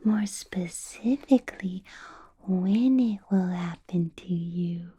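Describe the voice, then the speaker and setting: A woman playing a centuries-old vampire boss in a whispered ASMR roleplay, teasing and menacing, with deliberate pauses and a falsely pleasant manner. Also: fairly low pitch, warm tone, heavily acted. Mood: softly menacing. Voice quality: breathy whisper.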